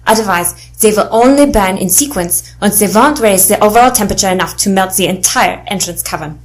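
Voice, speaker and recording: A woman's voice: mid-range voice, a little fast, lightly acted. A woman playing an earnest, methodical demolitions expert in an adventure audio drama, speaking precisely and seriously. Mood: focused.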